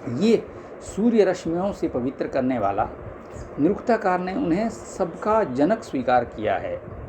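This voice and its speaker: A man with a somewhat higher-pitched voice reads scholarly scripture aloud in a formal way. It sounds like a homemade audiobook, with clear noise behind the voice. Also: big pitch swings, a little slow. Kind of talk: reading scholarly religious text aloud.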